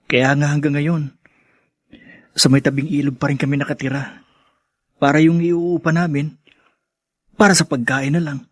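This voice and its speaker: A man's voice: on the high side, flat delivery, moderate pace, pauses often, no room sound, clean studio sound, close to an everyday voice. An actor playing an earnest, hesitant teenage boy from a poor family in a Filipino radio drama, with a Filipino accent. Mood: earnest, weary. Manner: hesitant, respectful.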